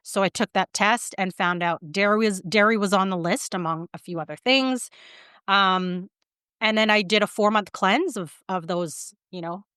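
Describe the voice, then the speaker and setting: Smooth-voiced woman, chatty and animated. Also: mid-range voice, moderate pace, dry recording, studio quality. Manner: animated storytelling with many fillers and restarts. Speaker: guest, vegan writer and publicist. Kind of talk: casual interview talk.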